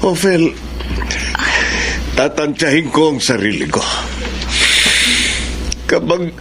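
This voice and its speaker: A man's voice: on the high side, expressive, slow pace, a little hiss or hum. An actor in a radio drama playing an ailing father who broods and worries about his daughter. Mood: worried. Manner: weary, brooding.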